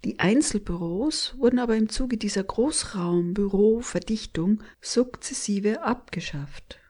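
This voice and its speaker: A woman hosting a radio show, reading calm and clear summaries and introductions. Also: medium pitch, unhurried. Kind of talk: scripted radio moderation.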